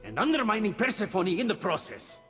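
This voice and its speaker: Casting: loyal, clever messenger god, lightly high, swooping pitch, game drama. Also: male voice, very animated, brisk, a bit bright. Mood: accusing. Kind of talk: video game voice acting. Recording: long reverb, faint music behind.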